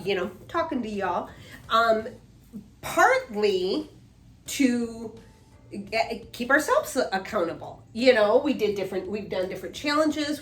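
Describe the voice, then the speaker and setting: A woman in her fifties co-hosting a casual chat, rambling with many restarts and fillers. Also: mid-range voice. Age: 50s. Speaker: co-host, mother. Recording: echoey room, faint music.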